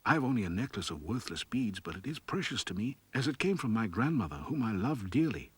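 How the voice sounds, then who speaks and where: A man voicing a timid, frightened young girl from a fairy tale, modest and soft-spoken. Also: fairly low pitch, sing-song, brisk, husky, no echo, heavily acted. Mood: timid, pleading. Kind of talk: storybook character voice.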